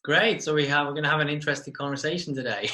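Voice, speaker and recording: A man's voice: on the high side, rapid-fire, bright, forceful tone, a little hiss or hum. A man hosting an interview podcast, talking enthusiastically and often repeating his words. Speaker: podcast host.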